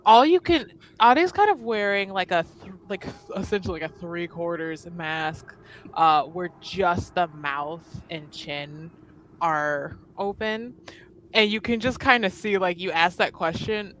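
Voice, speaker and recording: A woman narrating improvised tabletop roleplay, halting and thinking aloud as a player in the game. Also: fairly low pitch, animated, fairly bright tone, slight background noise, low-quality recording.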